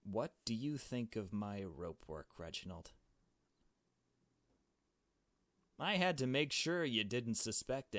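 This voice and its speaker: A man's voice: medium pitch, no echo. A man voicing a brash, foul-mouthed, take-charge young woman in a visual novel, talking bluntly and in slang. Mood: in control.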